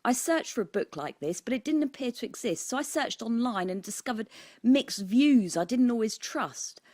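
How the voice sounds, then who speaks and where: A woman's voice: a little high, animated, moderate pace, darkish tone, no room sound, studio quality. A woman with a British accent reading her own book aloud as scripted audiobook narration.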